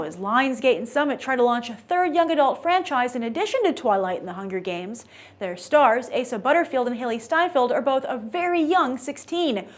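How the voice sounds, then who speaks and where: Female movie review host, high voice, opinionated and emphatic delivery.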